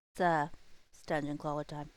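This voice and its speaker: Low-voiced woman, casual livestream chat. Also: dark tone, dry recording.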